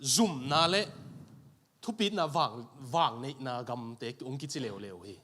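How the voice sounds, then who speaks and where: A man's voice: medium pitch, a little slow, a bit bright. A man interpreting a sermon phrase by phrase in a church, repeating each line right after the preacher. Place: church sanctuary.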